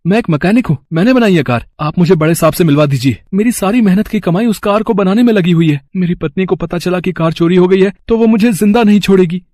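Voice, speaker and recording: A man playing an earnest, humble young mechanic husband in an animated moral story, speaking with open emotion. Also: high-pitched, quick pace, few pauses, no echo, clean studio sound, lightly acted. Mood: panicked, pleading.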